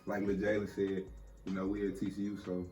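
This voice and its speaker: Flat, low-voiced young man. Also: brisk. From Dallas, Texas. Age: young adult student. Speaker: podcast guest. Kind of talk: casual self-introduction. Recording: distant mic, faint music.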